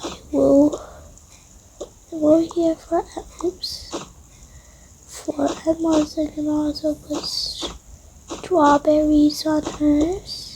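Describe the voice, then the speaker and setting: A high, mellow young female voice softly narrates a toy roleplay at an unhurried pace. She often slips toward a whisper, with faint noise in the home recording. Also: flat delivery, low-quality recording. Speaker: roleplay video creator. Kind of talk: improvised toy-game roleplay storytelling.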